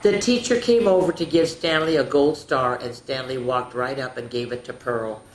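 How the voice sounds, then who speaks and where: A woman's voice: very deep voice, moderate pace. A senior woman hosting a children's story time, chatty and instructive, often putting questions to the kids. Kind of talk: children's storytelling and activity talk. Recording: echoey room with faint noise.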